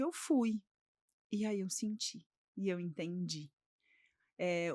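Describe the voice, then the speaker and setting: A woman's voice: mid-range voice, no echo, clean studio sound. A middle-aged woman from Brazil, a podcast guest telling her personal story in a reflective way, often checking in with "né?".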